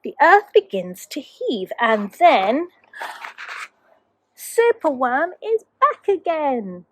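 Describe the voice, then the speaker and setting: Female primary teacher as storyteller: expressive, slow-paced, fairly high voice for small children. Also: poor audio. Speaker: teacher reading a children's book. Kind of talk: expressive rhyming storybook narration for young children.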